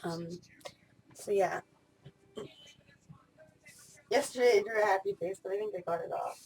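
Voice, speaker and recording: A woman's voice: mid-range voice, brisk, darkish tone, breathy edge, poor audio. A woman in her late twenties talking casually in a vlog, rambling with many fillers and restarts. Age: 20s. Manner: rambling, spur-of-the-moment talk with many fillers. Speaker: vlogger.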